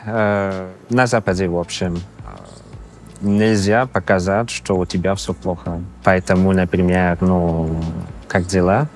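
A man in his thirties from Canada with a Canadian accent, speaking a learned second language with pauses and fillers in an interview. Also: low-pitched, fairly even intonation, darkish tone, soft background music. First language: not Russian. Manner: halting, searching for words with many fillers. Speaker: interview guest. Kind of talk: casual interview answers. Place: exhibition hall indoors. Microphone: lapel.

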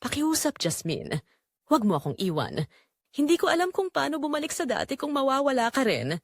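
Desperate married man begging his lover to stay, read by a female narrator, audio drama, animated intonation, dry sound. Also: sits high, a little fast, dry recording, voice pushed up, exaggerated voice. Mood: desperate pleading. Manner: pleading, desperate. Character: pleading married man in love with his caregiver.

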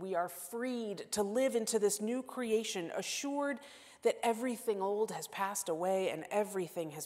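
A woman in her forties giving a sermon as guest preacher, earnest and self-deprecating, moving between emphatic lines and hushed asides. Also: medium pitch. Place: church sanctuary. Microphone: lapel mic. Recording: long church-hall reverb.

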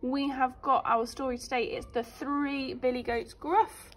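A woman teacher reading a story aloud for young children in an expressive, emphatic way. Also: high voice, unhurried, a little hiss or hum. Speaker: primary school teacher.

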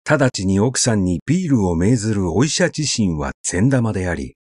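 A man narrating a literary story in a measured, conversational, expressive way, as if telling it to someone. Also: on the low side, no room sound, studio quality.

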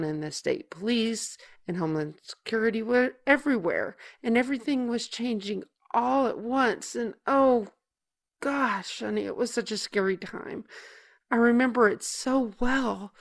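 Tender, protective big sister. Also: female voice, medium pitch, vocal fry. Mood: tender, nervous. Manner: tender, halting, emotional. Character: protective, tender older sister explaining family past. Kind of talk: audio drama dialogue.

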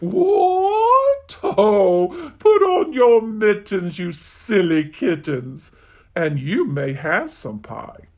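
A fussy mother cat from a nursery rhyme, put on by a library storyteller. She yelps up high in surprise, then scolds in a slow, wide-swinging voice. Lively and drawn out. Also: full character voice. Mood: scolding.

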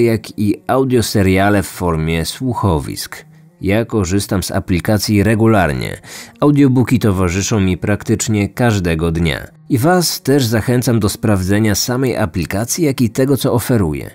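A young man hosting a true crime podcast, reading scripted narration in a measured, deliberate way. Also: on the low side, a little mellow. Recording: music bed behind the voice.

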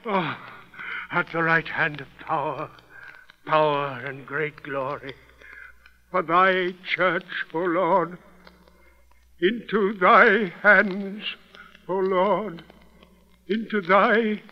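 An actor playing a defiant, devout archbishop in grand, archaic 1930s radio drama declamation. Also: male voice, sits high, steady tone, drawn-out words, pauses often. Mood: dying prayer. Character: defiant, devout archbishop facing his murderers. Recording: muffled, noisy 1930s radio recording.

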